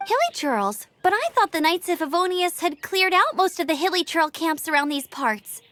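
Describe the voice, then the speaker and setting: A woman's voice: extremely high pitch, animated, moderate pace, pushed and bright, slight background noise, exaggerated voice. A woman playing an eager, confident young female scout in a video game, with an upbeat, take-charge manner. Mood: focused, brisk. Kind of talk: animated video game acting.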